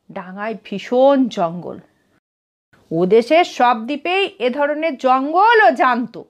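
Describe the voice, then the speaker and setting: A woman with a Bengali accent reading an adventure story aloud in a measured, expressive storytelling manner. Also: a little high. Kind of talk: scripted dramatic narration of a story.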